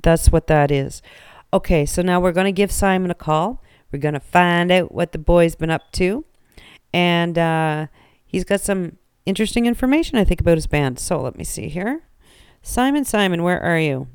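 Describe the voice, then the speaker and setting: A woman hosting a casual radio show, chatty and animated, laughing often. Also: on the low side, clear, resonant tone, no echo, clean studio sound.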